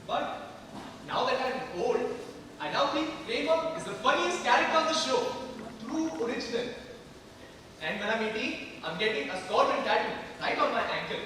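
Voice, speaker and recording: A man's voice: very high voice, lively intonation, moderate pace, pushed and bright, heavily acted. A man acting in an amateur stage play as a chatty, earnest teenage friend, speaking in a projected, conversational way. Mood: nostalgic, chatty. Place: large indoor theater hall stage. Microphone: distant camera or room microphone. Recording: echoey hall from far off, noisy.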